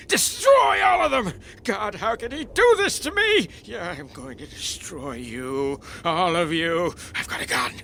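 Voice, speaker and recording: An actor playing a lonely, bitter man of eighty in a radio drama, his voice creaky and quavering, halting and breaking into cries. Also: very high voice, bright, forceful tone, a little hiss or hum, heavily acted. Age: 80s. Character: anguished, lonely eighty-year-old man, bitter and raging. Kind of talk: old-time radio drama acting.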